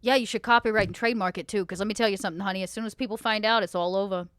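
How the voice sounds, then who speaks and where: A woman's voice: mid-range voice, steady tone, racing, fairly bright tone, clean tone, no room sound, natural voice. A woman playing a folksy, motherly, salesy vampire businesswoman in a tabletop roleplaying game. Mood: wry, knowing. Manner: folksy, salesy, reassuring, calls others honey.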